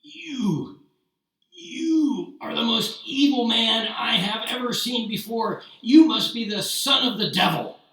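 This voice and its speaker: A man's voice: on the high side, normal speed, fairly bright tone, pitched above the performer's own voice. Man doing a young apprentice painter, hot-headed, indignant, raised voice, echoey. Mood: outraged. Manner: indignant, outspoken. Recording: roomy, distant mic.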